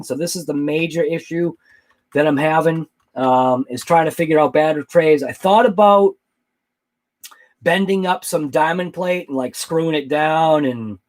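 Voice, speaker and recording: A man hosting a casual late-night livestream about RC cars, thinking aloud and answering live chat. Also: a little high. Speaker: RC hobby channel host.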